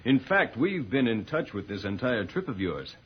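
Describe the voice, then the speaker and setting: An actor playing a noble, commanding alien spaceman in a 1950s radio serial, speaking in a formal, measured, declamatory way. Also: mid-range voice, big pitch swings, slight background noise, natural voice. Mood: calm, courteous. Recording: muffled, husky old radio recording.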